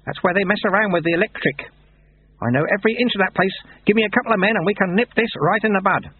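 A man voicing a gruff, wily old monk sweeper in an audiobook story, with folksy, ungrammatical talk and a raised, animated voice. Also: sits high, brisk, narrow, tinny audio, pitched above the performer's own voice. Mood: insistent, exasperated.

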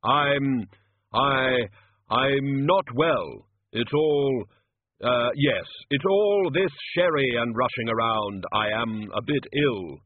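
Guy doing storybook grim reaper playing santa, slow n awkward, very literal, husky, muffled sound. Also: mid-range voice, pitched above the performer's own voice. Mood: flustered, defensive. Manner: slow, hesitant, literal-minded.

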